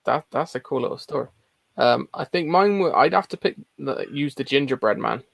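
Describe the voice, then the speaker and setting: A man with a noticeably gravelly, mid-pitched voice co-hosts a casual livestream. The sound is very dry, with hardly any room on it, as he chats and reads comments. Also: lively intonation, moderate pace, a bit bright, no echo. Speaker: co-host reading out viewer comments.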